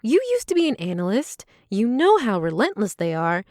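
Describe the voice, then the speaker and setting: A woman playing a reassuring yet coolly ruthless lab partner in an audio drama, measured and firm. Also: medium pitch, sing-song, darkish tone, no room sound, clean studio sound, close to an everyday voice. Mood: alarmed, insistent. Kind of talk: audio fiction character acting.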